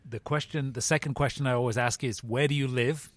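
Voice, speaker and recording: A man hosting a podcast, who reads the episode introduction and then asks interview questions in a friendly, measured way. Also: mid-range voice, steady tone. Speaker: podcast host.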